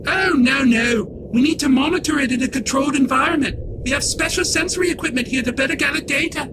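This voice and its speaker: A man's voice: extremely high pitch, quick pace, noisy background. A man playing a haughty alien scientist in a comic dub, speaking in a formal, stilted and superior manner. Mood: formal, matter-of-fact.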